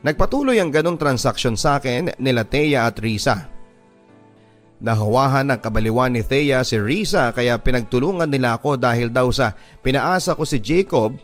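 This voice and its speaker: A man with a Filipino accent narrating a first-person story as a scripted radio drama. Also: mid-range voice. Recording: music behind the voice. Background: background music.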